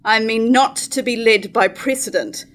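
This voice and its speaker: A woman's voice: fairly high pitch, moderate pace, a bit bright, natural voice. A woman in her thirties playing a proud, defiant wife coldly casting off her husband in a staged verse tragedy. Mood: cold defiance. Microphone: stand microphone at lectern.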